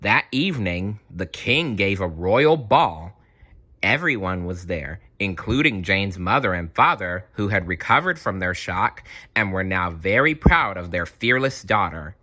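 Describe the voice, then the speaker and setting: A man tells a children's story at an unhurried pace. His voice sits a little low with a bright, forceful edge, and his expressive reading moves through a wide range of pitch. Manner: expressive, dramatic. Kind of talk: children's storybook read-aloud.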